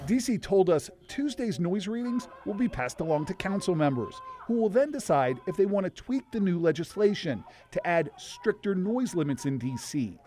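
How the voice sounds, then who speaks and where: Male American TV news reporter, higher-set voice, very expressive scripted delivery. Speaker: investigative reporter.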